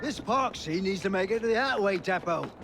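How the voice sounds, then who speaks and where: Grumpy work boss, game cutscene, high voice, bossing people, noisy outside. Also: male voice, expressive, brisk. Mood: irritated. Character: irritable, coarse male foreman. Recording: noisy outdoor ambience.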